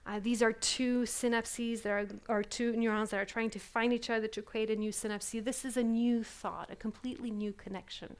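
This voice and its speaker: A woman's voice: on the high side, fairly even intonation, moderate pace, hardly any pauses, clear, resonant tone. A woman giving a live keynote talk at a conference, reflective and full of fillers, often asking the audience questions, speaking English as a with as her first. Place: conference hall.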